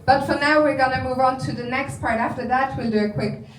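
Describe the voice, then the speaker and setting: A woman hosting a live event, announcing guests briskly. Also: sits high, steady tone, a little hiss or hum. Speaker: event host. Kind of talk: stage hosting. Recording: echoey hall from far off. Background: crowd.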